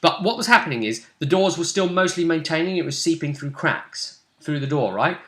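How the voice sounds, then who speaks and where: Guy running a tabletop game recapping it, kinda high sharp voice, animated, echoey room. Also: fairly quick. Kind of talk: casual chat recounting a game.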